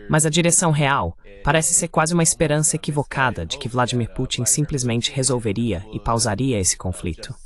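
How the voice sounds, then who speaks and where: A man hosting and moderating a political discussion, commenting as he goes. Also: mid-range voice. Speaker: host and moderator.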